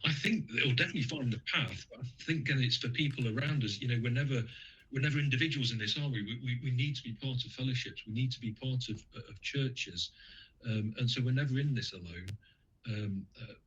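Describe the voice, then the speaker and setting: A man with a husky, slightly breathy voice, speaking as a radio guest. He pauses often, restarts his sentences and fills gaps with ums and uhs. The sound is dull and lo-fi. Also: mid-range voice, a bit bright. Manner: hesitant, many fillers and restarts. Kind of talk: radio interview, conversational.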